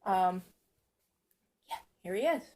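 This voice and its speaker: A hoarse woman chatting casually to camera in a rambling, self-mocking way. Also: medium pitch, lively intonation, slow pace, darkish tone. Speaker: vlogger. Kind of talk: casual vlog chat. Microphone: camera on a stand.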